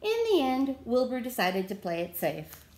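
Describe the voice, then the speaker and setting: A woman in her thirties reading a picture book aloud to children, with deliberate theatrical emphasis and questions to her listeners. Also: on the high side, very animated, a little mellow. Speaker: read-aloud storyteller. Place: small room at home.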